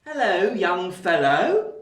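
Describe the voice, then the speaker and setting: A cocky, well-mannered boy gentleman of a Victorian radio drama. His voice is high and unhurried, with an echoey room around it. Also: very animated, exaggerated voice. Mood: cocky, challenging. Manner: formal, jaunty, well-mannered. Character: cocky, polite pale young gentleman, a boy.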